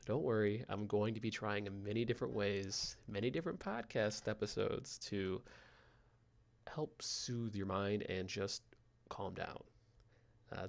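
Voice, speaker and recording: Soothing low gravelly man rambling slowly. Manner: soft, slow and meandering, with frequent fillers. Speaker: podcast host. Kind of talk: rambling sleep podcast monologue.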